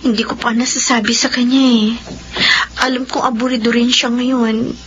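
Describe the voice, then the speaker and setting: A woman's voice: on the high side, flat delivery, moderate pace, highs cut off, slight background noise, lightly acted. An actress with a Filipino accent playing a troubled young wife and mother, hurt yet loving, in a radio drama. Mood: worried.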